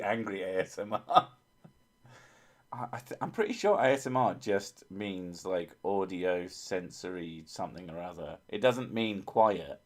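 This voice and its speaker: A man hosting a livestream in casual chat, rambling and self-mocking, with a voice others call soothing. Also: sits low. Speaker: livestream host.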